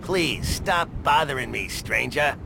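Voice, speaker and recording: An actor playing a wary, unfriendly townsman in a video game, creaky and reluctant in his slow delivery. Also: medium pitch, noisy background. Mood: wary, pleading.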